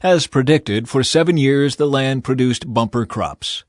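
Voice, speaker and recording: A man reading scripture as an audiobook narrator, in a measured, expressive way with clear phrasing. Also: medium pitch, no room sound, studio quality.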